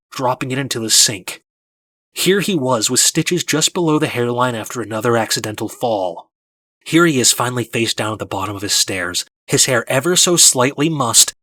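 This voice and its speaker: A man narrating a first-person story from a script in a measured, dramatic storytelling manner. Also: medium pitch, fairly bright tone, no room sound. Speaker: audiobook narrator.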